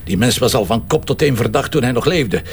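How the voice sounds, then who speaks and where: An actor playing a blunt, cheeky police commissioner in a Flemish crime drama, speaking colloquially with a sardonic edge. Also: male voice, mid-range voice, expressive, brisk, vocal fry, a little hiss or hum. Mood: insistent, sardonic. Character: gruff, cheeky, mocking male police commissioner. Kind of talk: naturalistic Flemish radio crime drama acting.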